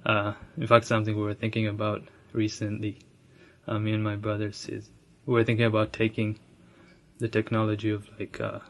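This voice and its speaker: A young man from Ethiopia telling his own story, soft-spoken and reflective, with frequent restarts and light laughs. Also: fairly low pitch, monotone, fairly quick. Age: young adult. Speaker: interviewee sharing his immigrant story. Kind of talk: personal storytelling.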